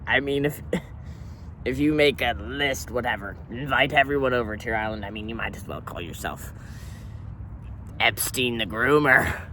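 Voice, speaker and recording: A man's voice: mid-range voice, normal speed, pauses often, pushed and bright, slight background noise. A man voicing a crude, swaggering, unwashed pirate in a comic monologue, rambling offhand and calling everyone "matey" in pirate slang.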